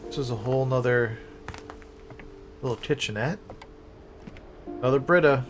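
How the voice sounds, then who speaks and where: A man's voice: medium pitch, moderate pace. A man doing casual gameplay commentary as a streamer, musing aloud in short questions and repeating himself. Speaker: game streamer. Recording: game music behind the voice.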